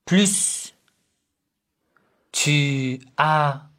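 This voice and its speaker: A man giving a religious lesson on family life, speaking in short, measured phrases and asking rhetorical questions that he then answers himself. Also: a little high, fairly bright tone. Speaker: religious teacher.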